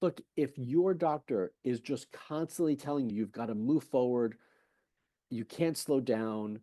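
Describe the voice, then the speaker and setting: A man's voice: medium pitch, dark tone, no room sound. A man speaking as a fertility specialist in a podcast interview, emphatic and persuasive, asking rhetorical questions and retelling talks with patients. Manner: conversational, retells patient exchanges.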